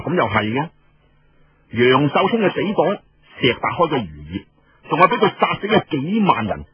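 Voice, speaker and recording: A man's voice: mid-range voice, breathy edge, muffled recording. A man with a Cantonese accent telling a story colloquially and dramatically, full of rhetorical questions and exclamations.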